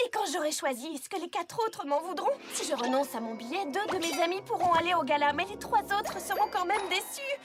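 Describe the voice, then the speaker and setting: A woman voicing an earnest, fretful young female pony in a cartoon, expressive and easily exasperated. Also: very high-pitched, fairly quick, few pauses. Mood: anxious, fretting. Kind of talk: cartoon dub acting. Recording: music behind the voice.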